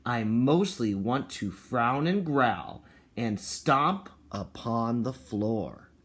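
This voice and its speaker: A man speaking as a kindergarten teacher, reading and explaining to young children in an expressive, encouraging way with simple phrasing. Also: medium pitch, drawn-out words. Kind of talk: picture book read-aloud and talk to children and parents.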